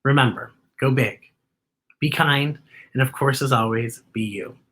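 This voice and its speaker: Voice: mid-range voice, a little slow, fairly bright tone. A children's story time host reading aloud and talking to young viewers in an enthusiastic, emphatic way. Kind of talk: animated read-aloud to children.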